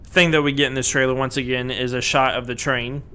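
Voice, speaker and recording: A man's voice: medium pitch, flat delivery, fairly quick, sharp tone, clear, resonant tone. A man co-hosting a casual podcast, rambling with lots of fillers and restarts. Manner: rambling, many fillers and restarts, laughs often. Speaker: podcast co-host.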